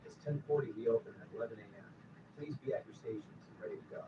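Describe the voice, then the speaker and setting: A man making a routine opening reminder over an arcade public address speaker, in a flat manner. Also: medium pitch, racing, breathy edge, highs cut off. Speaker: store announcer. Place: gaming arcade. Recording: distant PA speaker with loud noise.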